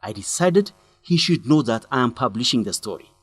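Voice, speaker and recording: An actor playing an earnest male journalist in a radio drama, speaking expressively in simple, deliberate sentences. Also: mid-range voice, lively intonation, husky. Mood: serious, recounting. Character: earnest young male journalist breaking bad news to a friend. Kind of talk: English-learning radio drama acting.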